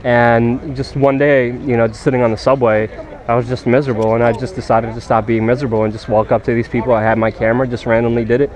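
A man's voice: medium pitch, level tone, quick pace, a little mellow. A man reflecting casually and personally in a vlog, speaking outdoors into a handheld camera. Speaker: vlogger being interviewed. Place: grassy park outdoors.

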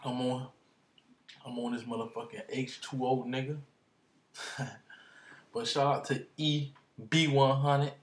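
A young man talking into his webcam in a dark bedroom, bragging in slang, half-singing some lines. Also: medium pitch, flat delivery, a little slow, long pauses, reverberant. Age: young adult. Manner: boastful slangy banter, drifts into half-sung lines and laughs. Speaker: vlogger. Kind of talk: casual rambling vlog. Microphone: computer webcam up close.

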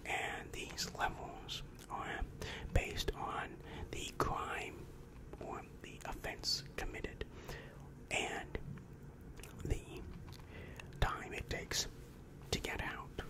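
A breathy whisper, close on the mic, carries a soothing demon counselor of hell, played by a young man. He explains things patiently at a very slow pace, calm and reassuring in the manner of an ASMR roleplay. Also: natural voice, poor audio. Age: young adult. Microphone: close-up microphone with foam windscreen.

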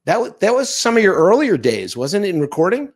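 A man's voice: high-pitched, sing-song, fairly quick, breathy edge. Chatty older man asking questions. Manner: chatty, friendly questioning with hesitations. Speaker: interviewer host. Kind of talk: casual interview chat. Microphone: studio mic on boom arm, video call.

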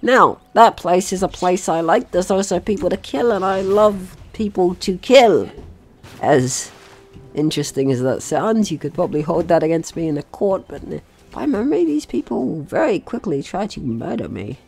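A man's voice: high-pitched, very animated, moderate pace, creaky. A man hosting a casual let's-play, talking playfully to the game's characters and swearing now and then. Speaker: gaming host.

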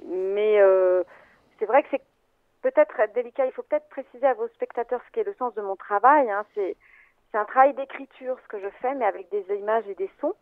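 A woman film editor speaking over a thin, phone-like line that sounds dry, with no room echo. She talks slowly and thoughtfully, pausing on fillers and restarting her sentences as she explains her work. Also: mid-range voice. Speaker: film editor, interview guest.

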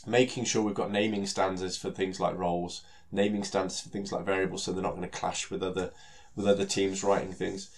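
Brief: British man with a cold, nasal and a bit low-pitched, easygoing tech presenter, plenty of "you know" and restarts. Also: a little fast, few pauses. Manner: relaxed, many fillers and repeated words. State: has a cold, nasal and stuffy. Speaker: solution architect giving a technical talk. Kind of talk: conversational technical presentation.

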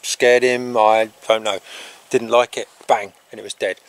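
A middle-aged man in a casual, rambling vlog, talking haltingly outdoors on a farm into a handheld camera. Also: lowish voice, level tone, clean tone. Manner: halting, breaking off to talk to animals. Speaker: farm vlogger. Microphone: handheld camera at arm's length.

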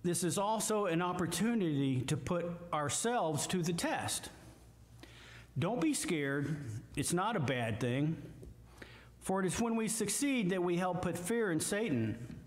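An older man with a Midwestern American accent, a guest preacher giving a slow, emphatic sermon with scripture readings from a church lectern. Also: high voice, animated. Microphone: lectern mic, far camera. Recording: echoey church hall from far off.

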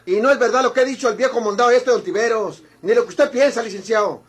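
A cunning mexican turncoat in old radio drama, real high voice, husky, defiant. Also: male voice, quick pace. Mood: threatening, defiant. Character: defiant, cunning outlaw lawman turned traitor. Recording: vintage radio drama recording.

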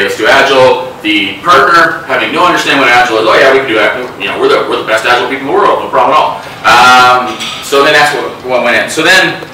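Middle-aged male speaker, bright and forceful, lively with asides, picked up from a distance in a hollow meeting room. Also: mid-range voice. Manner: animated, gestures a lot, many fillers and asides. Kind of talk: interactive talk at a meetup. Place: hotel meeting room with a small group. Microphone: lapel mic, filmed from across the room. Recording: echoey room, from a distance, faint hiss.

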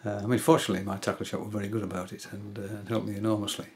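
An older man at home giving a hesitant, casual tutorial, often pausing with fillers as he shows his reels. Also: fairly low pitch, little pitch movement, husky. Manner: hesitant, many fillers and restarts. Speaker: angling hobbyist giving a tutorial. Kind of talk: casual explanatory demonstration. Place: indoors, small room at home.

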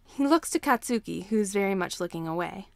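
A woman's voice: medium pitch, expressive, fairly quick, creaky, no room sound. A woman narrating a scripted story as a fan fiction reader.

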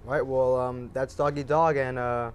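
A man hosting a film program, asking questions in a casual, halting, informal way. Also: mid-range voice, level tone, slight background noise. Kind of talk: casual interview.

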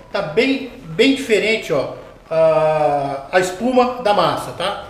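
A middle-aged man demonstrating a recipe step by step in his home kitchen, explaining patiently and checking in with the viewer as he works. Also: high voice. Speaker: home cooking show host. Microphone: camera mounted overhead. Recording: echoey kitchen with light background noise.

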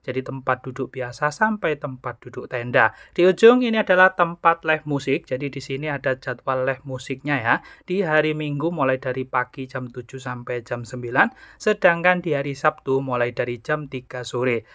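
A man's voice: medium pitch, moderate pace, fairly bright tone, no room sound, clean studio sound. A man narrating a travel vlog as a voice-over, guiding viewers instructively and addressing them as friends. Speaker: travel vlogger.

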